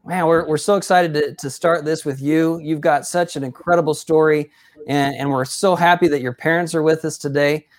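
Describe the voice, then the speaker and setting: A man's voice: on the high side, steady tone, moderate pace. A man hosting a casual sports interview, upbeat and effusive in his praise of his guest. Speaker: interview host.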